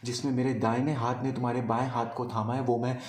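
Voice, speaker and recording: A man performing a poetic love monologue as a storyteller, in a wistful, deliberate manner with pauses. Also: mid-range voice, flat delivery, echoey room. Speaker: spoken-word storyteller. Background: music.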